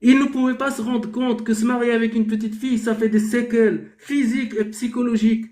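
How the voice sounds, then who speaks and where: A high-pitched man with a mellow, soft-edged tone argues his case on a live debate stream. He fires rhetorical questions and then answers them himself. The room around him rings with echo. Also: level tone, fairly quick. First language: Arabic. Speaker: debate host.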